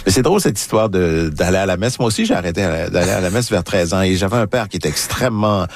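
A man in his fifties in a radio studio, speaking thoughtfully and telling anecdotes in a relaxed conversation. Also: sits low, fast talker. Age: 50s. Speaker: radio commentator and writer. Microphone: studio microphone.

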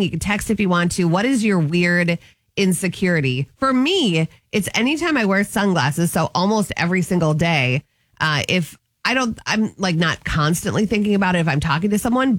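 A woman's voice: fairly low pitch, dry recording. A young woman co-hosting a morning radio show, chatting casually and telling stories with a laugh. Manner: animated, laughing storyteller. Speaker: radio co-host.

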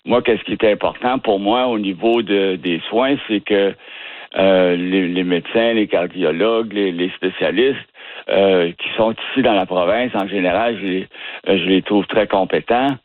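A man with a fairly low voice answers questions, often pausing and starting his phrases over. He comes through a narrow, dry phone line with no room sound behind him. He is from the Ottawa region. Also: no room sound. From Ottawa region, Canada. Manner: hesitant, many fillers and restarts. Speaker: interviewee. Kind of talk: casual interview answers.

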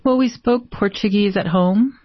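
A Brazilian-born woman poet answering interview questions thoughtfully, with many pauses and fillers. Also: medium pitch, dry recording. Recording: dull, dry lo-fi sound.

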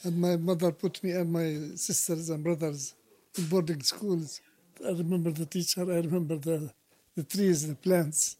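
A man's voice: on the high side, steady tone, moderate pace, a little mellow, low-quality recording. A man in his seventies from the West Bank with a Palestinian Arabic accent, reminiscing and reflecting as an artist in an interview. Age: 70s. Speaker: painter being interviewed. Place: recorded at home.

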